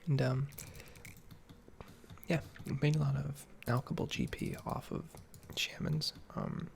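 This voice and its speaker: A man giving a casual, soft-spoken ASMR ramble over gameplay, hesitant and understated as he meanders from thought to thought. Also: mid-range voice, wildly swooping pitch, measured pace, vocal fry. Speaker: gaming video creator. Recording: faint background noise, keyboard typing, clicking. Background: soft computer keyboard typing and mouse clicks.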